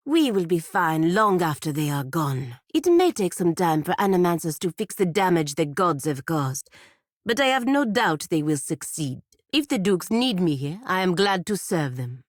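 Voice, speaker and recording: A woman's voice: on the low side, dry recording, studio quality, natural voice. A woman playing a proud, stern godlike warrior in a video game, speaking formally and bluntly with haughty disdain. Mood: firm, assured. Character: proud, stern godlike female warrior serving her republic. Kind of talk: scripted video game character dialogue.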